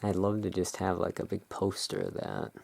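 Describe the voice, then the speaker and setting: A person doing a relaxing gothic ASMR roleplay, reading tarot cards aloud and commenting on them in a hushed, unhurried way. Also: low voice, fairly even intonation, vocal fry. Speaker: ASMR creator, tarot deck reviewer.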